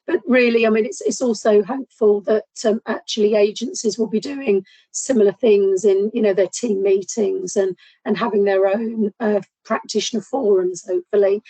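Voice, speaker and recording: A hoarse woman from a safeguarding board making an announcement in an online meeting, speaking hesitantly with frequent ums. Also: mid-range voice, darkish tone, no room sound, rough recording.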